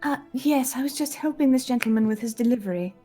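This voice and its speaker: A woman's voice: fairly high pitch, quick pace, mellow. A woman chatting casually as a player in an improvised tabletop roleplaying game. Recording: music playing behind the voice. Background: background music.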